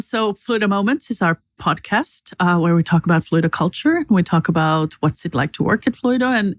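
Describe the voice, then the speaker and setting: A woman's voice: fairly low pitch, no echo. A woman hosting a company podcast, leading a loose chat full of fillers. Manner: friendly, loose, many fillers. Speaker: podcast host. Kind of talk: casual interview hosting.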